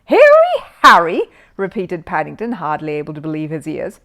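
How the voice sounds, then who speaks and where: A woman's voice: deep voice, a little fast, vocal fry, darkish tone. A woman narrating a children's story expressively from a written text.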